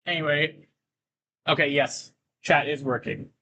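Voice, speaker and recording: A man doing casual livestream commentary on a game, reading on-screen text aloud and halting to break into asides. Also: on the high side, steady tone, bright, forceful tone, slight background noise.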